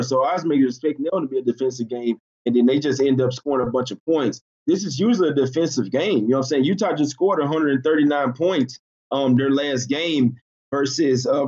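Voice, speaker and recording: A man's voice: fairly high pitch, a little mellow, slightly rough, lo-fi sound. A man co-hosting a sports betting show, laid-back and hesitant, with many fillers. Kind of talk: casual sports betting chat.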